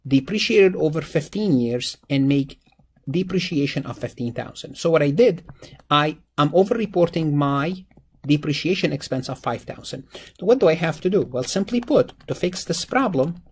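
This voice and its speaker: A man's voice: a little high, expressive, normal speed, slightly rough. A man lecturing on accounting, walking through an exam problem step by step, repeating phrases and answering his own questions. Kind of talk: step-by-step exam problem walkthrough, explanatory teaching. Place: indoors, voice-over on a screen-recorded slide lesson.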